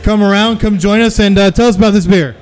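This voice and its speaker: A man's voice: high voice, fairly even intonation, fast talker. A man in his thirties hosting a live sports podcast from a taproom, upbeat and joking as he plugs the venue. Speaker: podcast host. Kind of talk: casual sports talk show. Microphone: stand mic with pop filter.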